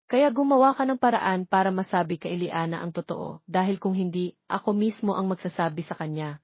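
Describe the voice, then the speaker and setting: A woman's voice: lowish voice, brisk, breathy edge, no room sound, lo-fi sound. A woman voicing a resentful, demanding young pregnant lover in an audio drama, annoyed and insistent. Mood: indignant, threatening.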